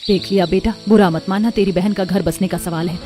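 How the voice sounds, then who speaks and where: A woman's voice: medium pitch, fairly even intonation, brisk, warm tone, faint music behind, close to an everyday voice. A woman voicing a worried middle-aged mother in a cartoon story, speaking in a maternal, pleading way. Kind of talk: Hindi cartoon story voice acting.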